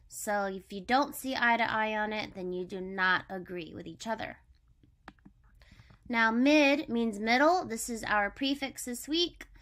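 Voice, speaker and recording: A woman's voice: on the high side, a bit bright. A woman elementary school teacher giving a vocabulary lesson to children, explaining step by step with simple examples.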